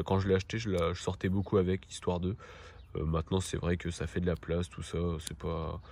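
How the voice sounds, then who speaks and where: Low-voiced man, casual and halting. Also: darkish tone, a little hiss or hum. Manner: hesitant, halting, informal delivery. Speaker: video host presenting everyday-carry gear. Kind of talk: casual product presentation to camera.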